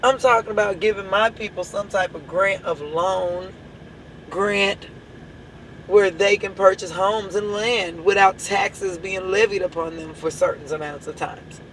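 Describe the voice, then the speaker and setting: A hoarse woman with a drawl leads her church in an impassioned address. She hammers at the same rhetorical questions again and again, pressing each point home. A faint background hum sits under her voice. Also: mid-range voice, fairly even intonation, measured pace, fairly bright tone, low-quality recording. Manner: emphatic, repeats rhetorical questions. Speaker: church leader.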